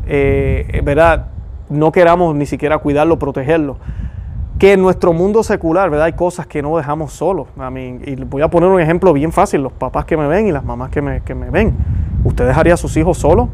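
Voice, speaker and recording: A man's voice: on the high side, a little mellow, a little hiss or hum. Earnest Puerto Rican man posing questions. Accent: Puerto Rican Spanish. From Puerto Rico. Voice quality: frequent restarts and "¿verdad?" tags. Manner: earnest, asks many rhetorical questions. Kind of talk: religious commentary to viewers.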